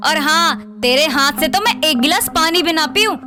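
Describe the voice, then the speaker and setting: A woman playing a stern, scornful middle-aged mother-in-law who scolds sharply in an animated moral story. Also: sits high, normal speed, over music. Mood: scornful, angry.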